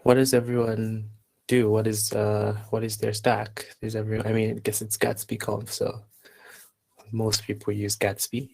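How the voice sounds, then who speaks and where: A man from Zambia hosting a live online workshop, chatting casually and haltingly as he reads out attendees' replies. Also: lowish voice, monotone, gravelly, darkish tone, low-quality recording. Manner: unhurried, halting, reading out chat replies. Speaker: workshop instructor and host.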